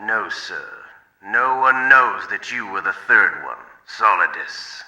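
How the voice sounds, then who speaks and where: A man's voice: lowish voice, lively intonation, takes it slowly, pushed and bright, highs cut off, exaggerated voice. An actor playing a sly, deferential secret agent in a video game, reporting to his superior in clipped, conspiratorial phrases. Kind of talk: dramatic video game voice acting, one-sided call. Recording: roomy echo.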